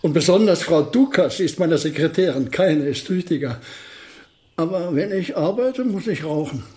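An older actor in a German radio play playing a polite, wry, absent-minded elderly physics professor. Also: male voice, on the high side, animated, moderate pace, darkish tone, lightly acted.

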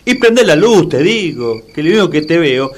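An actor playing a worried, gullible, easily scared friend in a comic audio drama, pleading and exclaiming with an Argentine Rioplatense accent. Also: male voice, on the high side, big pitch swings, normal speed. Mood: impatient, coaxing.

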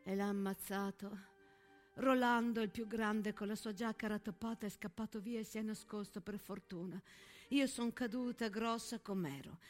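A woman playing a resigned, grieving village mother in wartime, speaking in a hushed, halting theatrical monologue. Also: medium pitch, steady tone, soft background music, pitched below the performer's own voice, natural voice.

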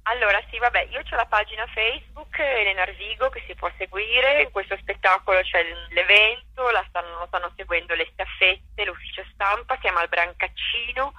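A woman speaks with a very bright, crisp tone, recorded dry and close. She talks thoughtfully about her work, restarting phrases as she hunts for the right word. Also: medium pitch, moderate pace, hardly any pauses, no room sound, lo-fi sound. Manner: reflective, searching for words with many restarts. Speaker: theatre director and actress. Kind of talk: conversational interview.

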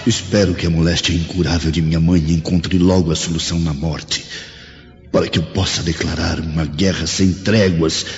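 An actor with a Brazilian accent playing a jealous, bitter Roman husband in a radio drama, with theatrical, formal diction. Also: male voice, sits low, flat delivery, moderate pace, natural voice. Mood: bitter, vengeful. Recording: dull lo-fi audio under dramatic music.

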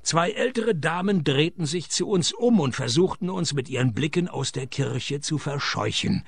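An actor with a Swiss accent playing a wry, laconic male private detective in a radio play, deadpan and sardonic as he talks and narrates. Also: fairly high pitch, moderate pace, gravelly, husky, no room sound, clean studio sound, full character voice. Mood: wry narration. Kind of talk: radio play dialogue and first-person noir narration.

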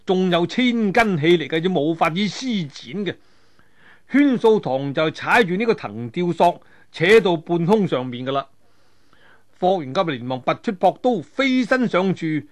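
Animated high-pitched male storyteller. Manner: colloquial, animated, with spoken sound effects. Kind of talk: traditional serialised storytelling narration of a martial-arts tale.

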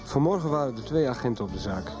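A man's voice: medium pitch, lively intonation, fast, over music, lightly acted. A man acting in a 1990s radio soap as a nervous, doubting accomplice who hesitates and repeats words. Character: nervous, doubting male accomplice in shady business.

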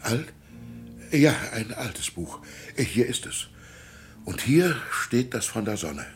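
An actor playing a frail, gentle old village pastor in a radio drama, anxious and musing, hesitant and sometimes sinking toward a whisper. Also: male voice, medium pitch, very animated, takes it slowly, soft background music. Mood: fearful, hushed. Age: older. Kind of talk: German radio drama acting.

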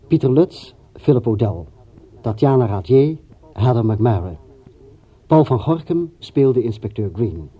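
Hese, formele mannelijke radio-omroeper. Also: male voice, mid-range voice, husky. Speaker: radio announcer reading closing credits. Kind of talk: formal scripted broadcast announcement. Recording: dull, band-limited old radio recording.

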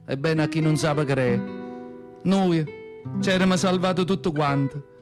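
A man's voice: on the high side, a little slow, lightly acted. A male actor playing an elderly, devout southern Italian mother with a Neapolitan accent, telling her memories in a plaintive, emotional radio monologue. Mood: sombre reminiscing. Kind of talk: theatrical radio monologue in dialect. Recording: guitar music behind the voice. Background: acoustic guitar music.

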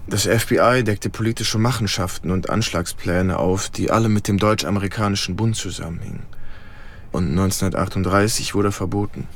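An actor playing a troubled young German-American soldier, confiding hesitantly in an intimate radio drama. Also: male voice, on the low side, fairly even intonation, fairly quick. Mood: sober, remembering.

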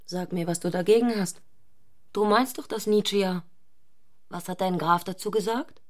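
A woman's voice: medium pitch, moderate pace, natural voice. A woman playing an intense, fanatical, provocative married woman in a literary radio drama. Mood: insistent.

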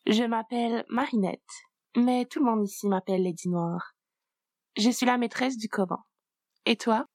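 A woman voicing a powerful, intimidating coven mistress, speaking slowly and deliberately. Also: on the high side, a little mellow, no echo, studio quality, close to an everyday voice. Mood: commanding.

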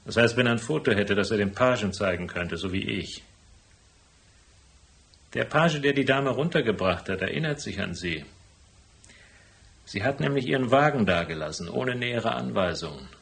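An actor playing a shrewd, unflappable private detective in a radio crime drama, speaking in a dry, deliberate way. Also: male voice, medium pitch, gravelly, a bit bright. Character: cool, laconic hardboiled male private detective, shrewd and unflappable. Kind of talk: German radio crime drama acting with first-person narration.

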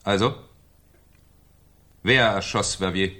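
An actor playing a shrewd, sardonic French police commissioner in a German radio crime drama, with a dry, probing manner. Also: male voice, sits low, takes it slowly, sharp tone, heavily acted. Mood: pressing, ironic.

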